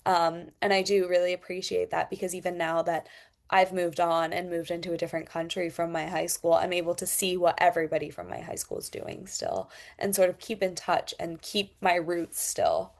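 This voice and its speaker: A woman's voice: lowish voice, moderate pace, creaky. A young woman with a mixed international accent and long vowels, giving thoughtful interview answers with frequent hesitations. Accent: mixed international, elongated vowels from Thai. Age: young adult. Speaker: podcast guest, international student.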